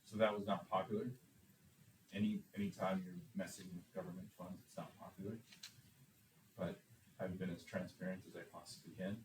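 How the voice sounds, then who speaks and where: A very low-voiced man answers interview questions with confident, measured self-assurance. He is picked up from a distance in a room that echoes, with a faint hiss underneath. His manner is steady and deliberate. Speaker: superintendent candidate. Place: meeting room.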